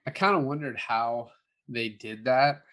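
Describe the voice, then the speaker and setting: Bright-voiced man chatting about film. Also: mid-range voice, moderate pace. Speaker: podcast co-host. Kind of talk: casual film review chat.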